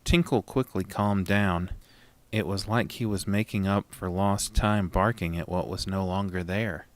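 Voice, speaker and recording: A man with a low voice narrates a horror audio story in the first person. His delivery is slow, measured and dramatic, and the recording is very dry. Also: steady tone, no echo.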